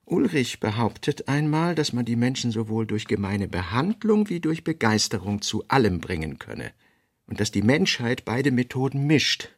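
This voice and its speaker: A man reads out fragmentary notes in a radio-play studio, in a measured, clipped delivery. His voice is gravelly, with vocal fry in it. He sits at a middling pitch. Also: big pitch swings. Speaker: narrator.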